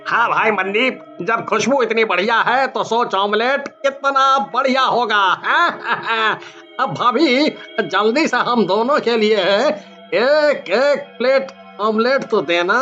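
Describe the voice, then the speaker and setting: Man doing a bright, drawling, greedy foodie. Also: very high voice, slow, faint music behind, exaggerated voice. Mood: relishing. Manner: relishing, lots of hums and wahs. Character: greedy jolly young male customer. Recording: dull lo-fi recording.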